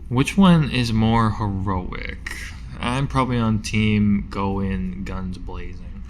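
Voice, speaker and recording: A man playing a tabletop game, bantering casually in a halting, informal way. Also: fairly low pitch, slight background noise.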